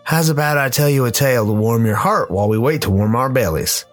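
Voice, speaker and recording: A man's voice: mid-range voice, animated, fairly quick, soft background music, lightly acted. A man playing a chummy, sales-minded storyteller salesman in a radio play. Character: folksy salesman storyteller, huckster named Jimmy, by a fire.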